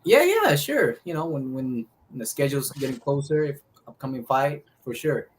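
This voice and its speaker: A man with a Filipino accent answers interview questions in a casual way. He sits at a middling pitch and speaks haltingly, often pausing partway through a thought as he recounts things. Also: sing-song, slight background noise. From Philippines. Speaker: professional boxer, interview guest.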